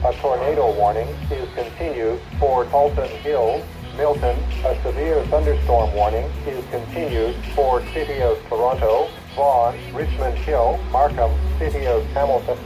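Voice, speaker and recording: A synthetic man's voice reading formal emergency weather alerts in a measured, impersonal way over a radio. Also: medium pitch, over loud music, low-quality recording. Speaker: automated weather radio announcer. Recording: weather radio played in a room.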